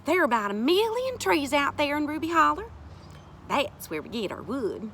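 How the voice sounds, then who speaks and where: A woman voicing a gentle, patient, folksy country woman in a storybook, reassuring and plain-spoken. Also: high-pitched, big pitch swings, normal speed, slight background noise, pitched above the performer's own voice, exaggerated voice. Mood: matter-of-fact.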